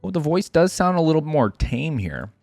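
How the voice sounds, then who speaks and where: A woman's voice: low voice, very animated, moderate pace, vocal fry, mellow, no room sound. A woman hosting a reaction video, giving casual, chatty commentary and musing as she goes.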